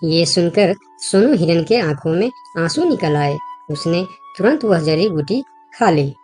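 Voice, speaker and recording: A woman's voice: on the low side, lively intonation, a little slow, darkish tone, faint music behind. A woman reading scripted narration for a children's animal story.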